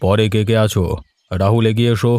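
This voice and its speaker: A man's voice: on the low side, little pitch movement, brisk, darkish tone, no room sound, close to an everyday voice. A man voicing an authoritative middle-aged village headman who gives orders in a cartoon story. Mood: businesslike.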